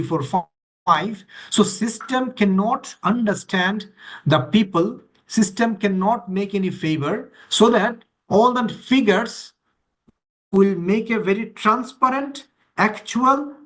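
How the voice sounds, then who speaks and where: A man's voice: high voice, a bit bright. A man leading a training session, explaining in an emphatic, list-like way and checking that listeners follow. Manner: emphatic, repetitive listing, checks understanding with questions. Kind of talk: explanatory teaching to online participants.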